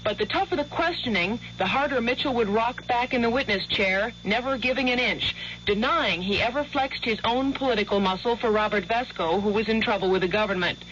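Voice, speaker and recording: A woman reporting courtroom news as correspondent with crisp narrative delivery. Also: on the high side, brisk, fairly bright tone. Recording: muffled old radio report with faint hiss.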